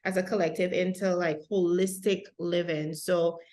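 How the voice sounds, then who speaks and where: A woman's voice: on the low side, little pitch movement, normal speed, a little mellow. A woman hosting an interview, speaking with effusive praise and rambling restarts. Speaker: host.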